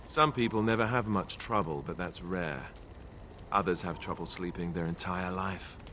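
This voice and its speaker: A man acts out a boyish young warrior from a fantasy video game. His voice sits low, with a wry, sardonic, chatty delivery. Clear background noise runs under the dialogue. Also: moderate pace, natural voice. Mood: sombre. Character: young male warrior, wry, boyish, self-deprecating comrade.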